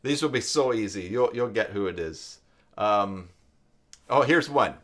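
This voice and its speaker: A man hosts a casual live stream. His voice sits fairly low. He talks to viewers in a rambling, halting way, thinking aloud as he goes. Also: animated, a bit bright. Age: 50s. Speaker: live stream host, web tutorial presenter.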